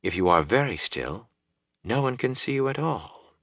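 A man voicing a calm, aloof young woman who speaks quietly and in measured phrases, in an audiobook story. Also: lowish voice, dry recording, studio quality. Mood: unworried. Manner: quiet, soft-spoken, measured.

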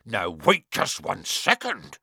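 Big gruff giant from a storybook, booming, rustic talk, quick temper but good hearted, bright forceful voice, swoops about. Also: male voice, wildly swooping pitch, dry recording, clean studio sound, heavily acted. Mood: angry disbelief. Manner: rustic dialect, blunt and emphatic. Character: huge gruff warm-hearted giant man, quick to anger.

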